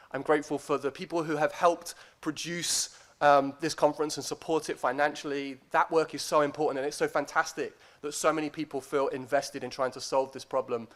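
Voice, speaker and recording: A man with a slightly high voice delivers an academic conference lecture. His manner is deliberate and a little hesitant, with a modest, self-deprecating air as he addresses his listeners. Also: fairly bright tone. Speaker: academic guest speaker.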